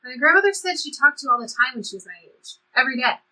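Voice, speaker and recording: A woman's voice: high voice, expressive, rapid-fire, pushed and bright, exaggerated voice, poor audio. A woman playing a blunt, impulsive seventeen-year-old girl in a stage play reading. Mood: eager, curious. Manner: blunt, impulsive teenage speech.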